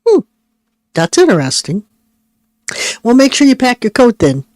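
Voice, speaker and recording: A woman co-hosting a live psychic radio show, talking casually, jumping in often with quick remarks, murmured agreement and light laughs. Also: on the high side, huge pitch swings, darkish tone, no room sound, studio quality. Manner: chatty, jumps in, frequent mm-hmms and light laughs. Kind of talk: casual chat. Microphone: live-stream studio mic.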